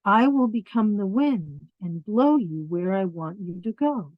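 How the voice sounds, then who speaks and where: A woman's voice: medium pitch, expressive, unhurried, no room sound, phone-quality sound, lightly acted. A woman voicing a devoted, steadfast mother bunny in a children's picture book read-aloud, with reassuring, declarative phrases.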